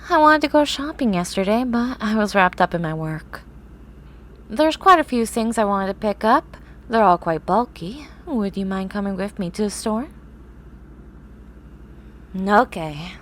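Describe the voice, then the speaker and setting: A woman playing a grumpy, eccentric young horse-girl scientist in an ASMR roleplay, with formal, haughty, drawn-out wording. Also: medium pitch, close to an everyday voice. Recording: clear background noise, ambient sound.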